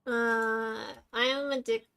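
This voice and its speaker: A woman's voice: a little high, fairly even intonation, takes it slowly, gravelly, bright, forceful tone, a little hiss or hum. A woman from the Philippines chatting casually as a podcast co-host, often giggling mid-sentence. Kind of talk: casual chat.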